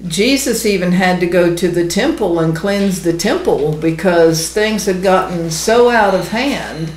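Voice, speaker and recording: A woman's voice: lowish voice, lively intonation, unhurried, a little mellow. Earnest woman teaching, roomy sound. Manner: earnest, emphatic. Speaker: ministry co-host, Bible teacher. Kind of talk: conversational Bible teaching. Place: small indoor room. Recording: roomy, echoey indoor sound.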